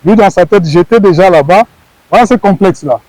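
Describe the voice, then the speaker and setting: A man's voice: high-pitched, expressive, a little fast, darkish tone, no echo, rough recording. A middle-aged man from Congo, a former wrestler, answering interview questions earnestly and often repeating words as he searches for phrasing.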